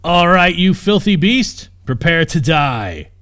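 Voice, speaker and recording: Man acting out a loud, cocky knight. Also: fairly high pitch, big pitch swings, slow pace, voice pushed up, heavily acted. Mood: bold challenge. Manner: loud, declamatory. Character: bold, cocky knight challenging a monster.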